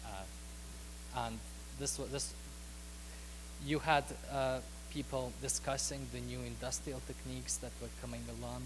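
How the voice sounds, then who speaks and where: A man from Russia giving a conference talk with slides, speaking haltingly with many ums, uhs and restarts. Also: medium pitch, fairly even intonation, noisy background. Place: lecture hall at a conference.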